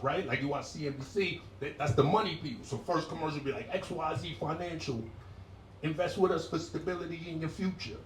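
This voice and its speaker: A man in his forties doing stand-up on a club stage, relaxed and conversational as he tells his stories. He picks up energy and shouts when he acts out characters. The sound is roomy and picked up from some distance away. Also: a little high. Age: 40s. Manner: laid-back storytelling, bursts into loud shouted impressions. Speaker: stand-up comedian. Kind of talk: stand-up set.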